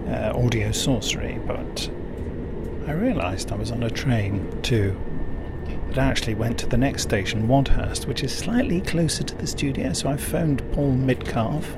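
A man's voice: medium pitch, moderate pace. A British man recording an informal, jokey spoken diary and chatting while out on location. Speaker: audio producer and actor. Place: on location while travelling: train, station, car, outdoors. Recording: noisy location recording, train and car sounds.

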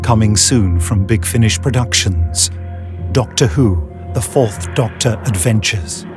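Trailer announcer, male: low, very unhurried, dramatic scripted read over music. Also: animated. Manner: measured, dramatic.

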